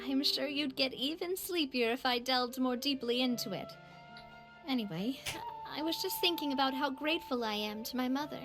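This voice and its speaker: An actress playing a gracious, composed young woman in a video game, speaking in a measured, articulate, gentle way. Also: high voice, music in the background, close to an everyday voice. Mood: fondly reminiscing. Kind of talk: video game voice acting, reflective storytelling.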